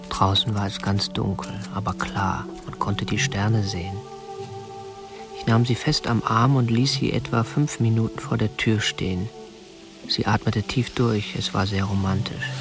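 A man's voice: fairly low pitch, vocal fry. An actor in a radio drama playing a prim, obsessive young man who keeps a woman captive, speaking in a stiff, controlled way. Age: 20s. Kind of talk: radio drama narration and dialogue.

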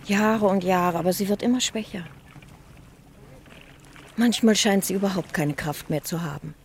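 A woman's voice: mid-range voice, moderate pace, natural voice. A woman playing a timid, modest, ageing spinster niece in a 1960 German radio play. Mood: wistful. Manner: hesitant, shy, self-effacing. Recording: noisy old radio recording.

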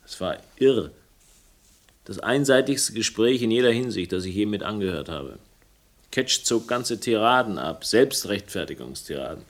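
A wry, defiant detective in a radio crime drama. His voice sits fairly low, and he talks in a dry, wordy, sardonic way, as if worn down by the world. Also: animated, normal speed. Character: wry, world-weary male detective narrator, cheeky and defiant. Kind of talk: German radio crime drama acting and first-person narration.

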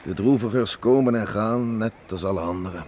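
A man's voice: on the low side, a little fast, a little mellow, natural voice. An actor playing an ageing, plain-spoken island farmer father in a Dutch radio drama, musing and concerned. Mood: quiet, satisfied. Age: older. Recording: noisy old radio play recording.

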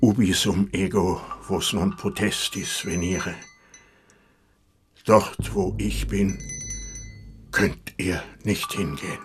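An actor playing a stern, pious, bitter medieval village priest and father in a German radio drama, preaching gravely and lapsing into Latin. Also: sits low, fairly even intonation, slow pace, long pauses, noisy background. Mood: stern, solemn.

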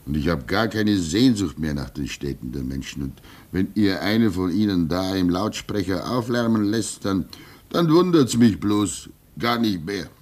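A man in his sixties playing a weary, pious old keeper at a polar station in a radio play, speaking wistfully with soft laughs. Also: deep voice, animated, a little slow. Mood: reflective. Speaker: actor.

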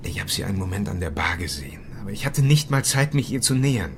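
An actor playing a courteous, curious, slightly reserved gentleman in a German radio drama, with polite, formal speech. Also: low-pitched, sing-song, fast. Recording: clear background ambience noise.

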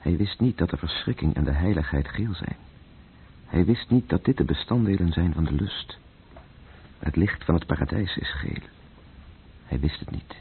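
An actor playing a solemn, brooding narrator who speaks in poetic, incantatory phrases in a radio play. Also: male voice, extremely low pitch, husky, exaggerated voice. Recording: noisy, dull old radio play recording.

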